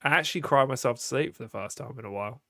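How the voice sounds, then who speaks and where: A young man co-hosting a podcast in casual chat, teasing his co-host in a dry, deadpan way. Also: medium pitch, rapid-fire, creaky, dry recording.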